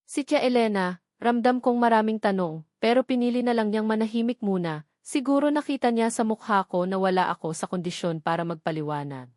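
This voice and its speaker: A woman's voice: fairly high pitch, brisk, a little mellow, dry recording, clean studio sound. A woman reads a dramatic first-person story as a scripted narrator.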